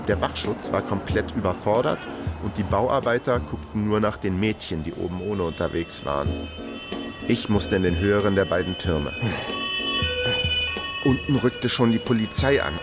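Guy acting a wry detective in a radio crime drama, deepish voice, dry storytelling. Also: natural voice. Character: wry male investigator narrating his undercover caper. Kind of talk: first-person crime radio drama narration and dialogue.

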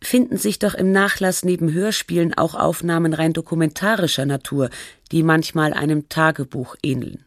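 A performer playing a sober radio documentary narrator, reading a scripted feature in a measured, factual, reflective way. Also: mid-range voice, steady tone, no room sound, clean studio sound, close to an everyday voice. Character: sober documentary narrator telling an artist's life.

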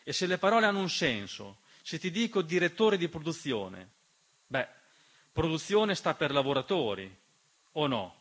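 Bright-voiced man narrating theatre. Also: fairly high pitch, sing-song, normal speed, slightly rough. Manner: emphatic, with rhetorical questions and repeated refrains. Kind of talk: dramatic theatrical monologue narration. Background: piano accompaniment.

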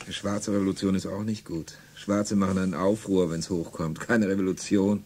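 An actor playing a cynical aristocratic slaveholder in a German radio drama, laughing and commanding. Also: male voice, deep voice, level tone, moderate pace, a little mellow, a little hiss or hum, lightly acted. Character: cynical aristocratic slaveholder, middle-aged man.

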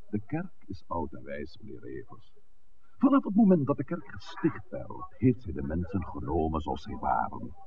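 A very deep-voiced village clergyman, learned and guarded. He lectures formally, with hugely varied intonation, in the manner of old radio drama. The recording is narrow and phone-like. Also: creaky, slight background noise, heavily acted. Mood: lecturing, assured. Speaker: actor in a radio play. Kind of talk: 1960s Dutch radio drama acting.

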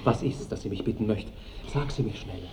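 A man's voice: on the low side, brisk, dark tone, a little hiss or hum, lightly acted. An actor playing a tender, protective young nobleman in love, speaking earnest lyrical verse in a 1960s radio drama. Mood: concerned. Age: young adult.

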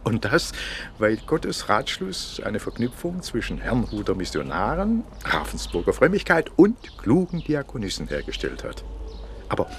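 A love-struck provincial inspector from a radio drama, speaking. He is earnest and halting, with very lively pitch movement over a clearly noisy background. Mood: musing, earnest. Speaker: radio drama lead actor. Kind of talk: German radio drama dialogue and narration.

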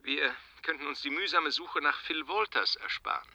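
Sharp, scheming male investigator. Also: a little high, normal speed, heavily acted. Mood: sly, probing. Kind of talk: radio drama acting.

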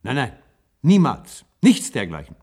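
A man's voice: medium pitch, huge pitch swings, a little slow, creaky, a little mellow, husky, full character voice. An actor playing an uneasy, probing new patient in a German science-fiction radio play, hesitant and repeating his words. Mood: agitated denial.

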